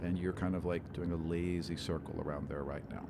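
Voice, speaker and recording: A man working as game master, narrating a tabletop game in a casual, halting, descriptive way. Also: low-pitched, steady tone. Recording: faint background noise.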